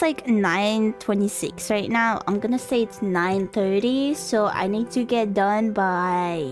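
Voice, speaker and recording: A young woman with a slightly high voice chats casually on a live game stream. She takes her time, hesitating and rambling half to herself. Music plays along behind her voice. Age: young adult.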